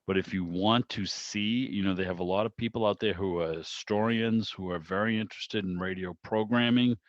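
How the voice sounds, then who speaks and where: Low-voiced, chatty man, dull call audio. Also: steady tone, normal speed, no echo. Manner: chatty, courteous. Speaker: meeting host. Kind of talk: casual, conversational hosting. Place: video call.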